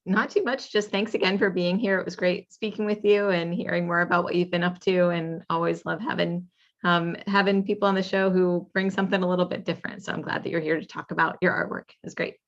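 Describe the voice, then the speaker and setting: A woman's voice: lowish voice, steady tone, fairly quick. A woman co-hosting a podcast, friendly and laughing easily in casual chat. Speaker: podcast co-host. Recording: dull lo-fi audio.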